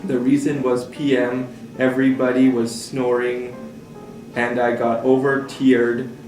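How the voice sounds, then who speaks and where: A man's voice: mid-range voice, completely flat delivery, unhurried, darkish tone. A man reading a child's camp letter aloud, stumbling a little over the written words. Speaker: staff member reading aloud. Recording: echoey room, distant mic, music behind. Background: guitar music.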